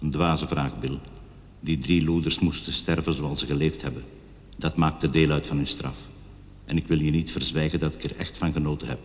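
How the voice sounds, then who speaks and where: A man's voice: rumbling low, steady tone, normal speed, slight background noise. An actor in a radio drama playing a vengeful, self-righteous male killer who voices his suicide letter with bitter grandeur. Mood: bitter, gloating. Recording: long echoing reverb on the voice.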